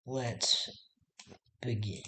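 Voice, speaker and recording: A man with a raspy voice, chatting casually and hesitantly to his live-stream viewers while he draws. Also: mid-range voice, steady tone, drawn-out words, background noise. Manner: rambling and hesitant, talking to himself while working. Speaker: artist livestreaming his drawing. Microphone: desk microphone.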